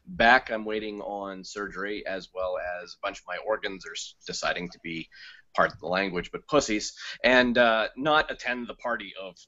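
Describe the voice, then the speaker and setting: A man speaking casually on a video call, joking and self-deprecating as he pitches his talk, sounding a little groggy. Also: moderate pace, pushed and bright. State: groggy, just woke up. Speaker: event speaker. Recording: muffled lo-fi call audio.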